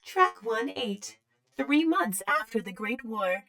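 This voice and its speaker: A woman's voice: on the high side, expressive, slow pace, sharp tone, recorded from a distance, a little hiss or hum, full character voice. A woman playing a rule-bound female mainframe computer in an audio drama, clipped and procedural. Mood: formal, procedural.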